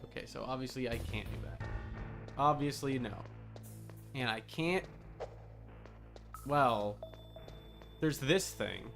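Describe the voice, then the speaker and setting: A tired man casually commentating a live game stream, rambling, interrupting himself and thinking out loud. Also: medium pitch, slow pace, gravelly, long pauses, over music. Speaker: streamer, VTuber.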